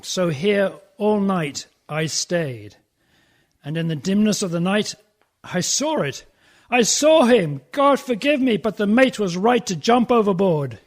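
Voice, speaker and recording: An actor in a radio horror play playing a grim, god-fearing sea captain, solemn and anguished. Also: male voice, sits high, heavily acted.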